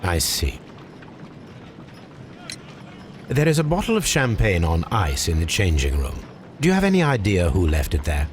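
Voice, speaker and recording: A man playing a shrewd, commanding English gentleman detective in a Victorian video game, speaking with precise, formal phrasing. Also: sits low, huge pitch swings, fairly quick, slight background noise. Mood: musing. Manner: precise, formal, clipped questioning. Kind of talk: video game cutscene acting.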